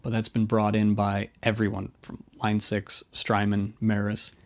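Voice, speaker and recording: A man's voice: on the low side, monotone, measured pace. A man hosting a guitar gear video, talking through things in a casual, informal explainer style with opinionated, wryly self-deprecating asides. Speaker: host of a guitar effects video.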